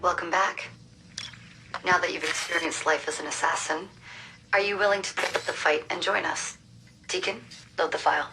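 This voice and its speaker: An actress playing a serious rebel operative who briefs a new recruit in a video game, earnest and businesslike. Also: female voice, lowish voice, steady tone, moderate pace, long pauses, far from the mic, music in the background, close to an everyday voice, low-quality recording. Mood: confident, inviting.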